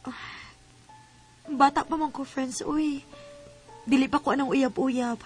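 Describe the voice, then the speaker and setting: A very high, youthful voice playing a coy teenage schoolgirl in a radio drama. She has a Cebuano Filipino accent and sounds shy, with music playing behind her. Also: fairly even intonation, measured pace. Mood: coy, reluctant. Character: shy teenage schoolgirl politely refusing a suitor.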